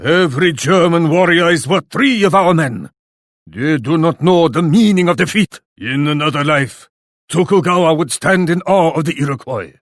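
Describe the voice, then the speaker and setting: An actor playing a proud, commanding Japanese warlord in a video game, speaking in a haughty, formal, declarative way. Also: male voice, on the high side, animated, normal speed, dry recording, clean studio sound, lightly acted. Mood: grim, alarmed. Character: proud, imperious Japanese warlord who speaks of himself grandly. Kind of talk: dramatic video game voice acting.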